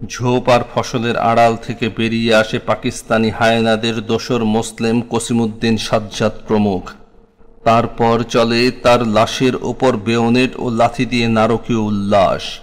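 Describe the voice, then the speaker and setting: A man with a Bangladeshi accent reciting a scripted memoir in a solemn, literary and expressive manner. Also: mid-range voice. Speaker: narrator of a war memoir. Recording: long reverberant echo on voice.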